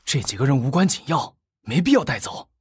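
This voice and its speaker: A man's voice: mid-range voice, very animated, brisk, a little hiss or hum, lightly acted. A man playing a cautious gang lieutenant in an audiobook, reasoning and deferential. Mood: urgent persuading.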